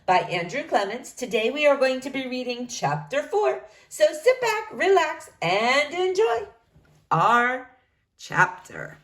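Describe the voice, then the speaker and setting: A teacher reading a chapter book aloud to young children, with an animated, expressive storytelling manner. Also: female voice, sits high, slow pace. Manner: animated, expressive, singsong address to kids.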